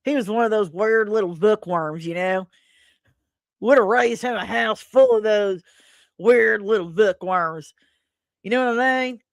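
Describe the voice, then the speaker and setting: A woman voicing a gruff, suspicious rural man in his fifties, with a blunt folksy drawl, in a dramatic comic reading. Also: medium pitch, measured pace, dry recording, natural voice. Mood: folksy contempt.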